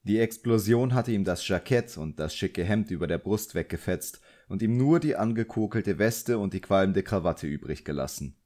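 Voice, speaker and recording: A man's voice: on the low side, animated, moderate pace, few pauses, a little mellow. A man reading a novel aloud as scripted audiobook narration.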